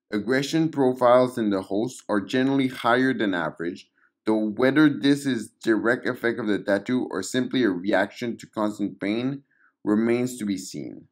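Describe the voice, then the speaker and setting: A man narrating a scripted clinical report in a measured, formal read-aloud manner. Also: on the low side, a little mellow. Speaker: narrator of fictional audio logs.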